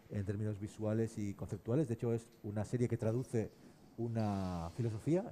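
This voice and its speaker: A man moderating a live round-table in a conversational, measured and somewhat hesitant way. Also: lowish voice, dark tone, a little hiss or hum.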